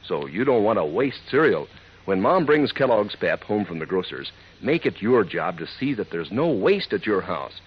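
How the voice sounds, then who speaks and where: A man's voice: on the low side, big pitch swings, normal speed, breathy edge. A man delivering a 1940s radio advertisement as a chummy, folksy, emphatic announcer. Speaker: radio announcer and sponsor pitchman. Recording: dull old radio recording.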